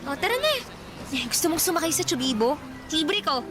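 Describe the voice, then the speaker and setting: A woman's voice: high-pitched, very animated, brisk, music in the background. An actress playing a friendly, coaxing young woman in love, in casual Filipino radio drama style. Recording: clearly noisy background ambience.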